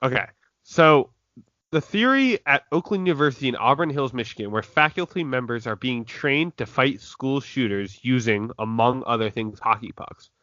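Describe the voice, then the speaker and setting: A man's voice: mid-range voice, lively intonation, moderate pace, pushed and bright, no echo. A young man hosting a casual podcast chat, reading out news stories and laughing easily. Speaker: podcast host. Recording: dull lo-fi dry recording.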